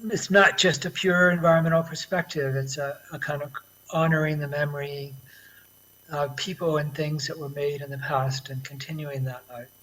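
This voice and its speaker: A man from Florida with an American accent, an architect and academic speaking thoughtfully with pauses in an online interview. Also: fairly high pitch, steady tone, fairly bright tone, rough recording. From Florida, USA.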